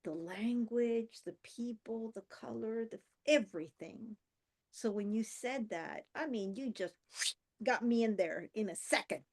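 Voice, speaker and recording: A woman's voice: fairly high pitch, a little slow, a little mellow. A woman from Peru, a workshop participant, casually sharing a personal reflection.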